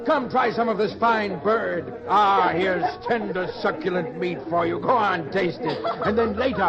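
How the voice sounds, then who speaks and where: An actor playing an ingratiating, worldly pleasure-seeker, theatrical and drawn out, in old-time radio style. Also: male voice, sits high, unhurried, over a noisy crowd. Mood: merry, urging. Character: smooth-talking, worldly hedonist man luring a youth. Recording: muffled radio-play audio.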